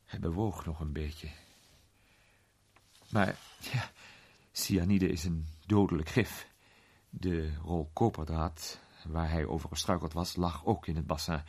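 Gentleman detective, very low pitch, rough husky tone, urbane storyteller, measured suspense, radio play. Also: steady tone, exaggerated voice. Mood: tense, dramatic.

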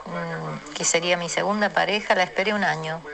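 Low-voiced Argentine woman with gravelly creak. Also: fairly even intonation, fairly quick, crowd noise. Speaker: storyteller in a listener voice message. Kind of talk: personal anecdote, casual storytelling.

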